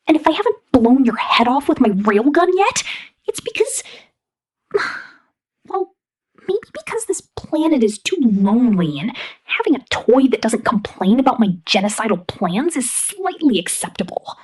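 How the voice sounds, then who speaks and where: Woman playing a husky, scornful robot teen girl. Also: on the high side, very animated, measured pace, darkish tone, pitched above the performer's own voice, heavily acted. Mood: grudging, impatient. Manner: scornful, edgy, grudgingly affectionate. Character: gruff, angry outcast teenage robot girl, secretly lonely. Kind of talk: ASMR character roleplay.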